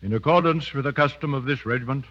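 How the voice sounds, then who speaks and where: An actor playing a dignified middle-aged British colonel in a 1930s radio drama, formal and ceremonial. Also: male voice, medium pitch, fast, a bit bright, lightly acted. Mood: solemn. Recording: dull 1930s radio broadcast recording.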